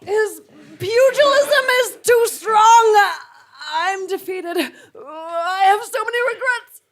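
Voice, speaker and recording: A woman's voice: very high voice, takes it slowly. A woman playing a melodramatic villain's henchwoman who wails in a comic radio play. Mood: wailing.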